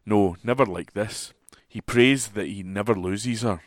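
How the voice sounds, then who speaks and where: A man reads a book review aloud from a newspaper as a volunteer reader. His voice is on the low side. The home recording is close and dry, with no room sound. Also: unhurried, no room sound.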